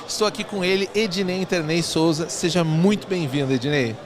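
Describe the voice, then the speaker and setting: A man's voice: fairly high pitch, normal speed, crowd noise. A man from southern Brazil, hosting a podcast interview in a chatty, enthusiastic way with rambling questions. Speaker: podcast host. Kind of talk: casual interview chat.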